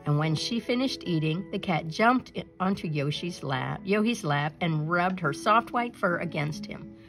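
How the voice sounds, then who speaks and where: Expressive woman reading a children's story over music. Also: fairly low pitch, normal speed. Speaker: storyteller reading a picture book aloud.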